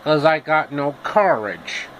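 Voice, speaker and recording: Man doing a slow, blustering cowardly lion. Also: fairly high pitch, sing-song, fairly bright tone, a little hiss or hum, heavily acted, rough recording. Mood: sheepish. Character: blustering cowardly male lion, sheepish vegetarian.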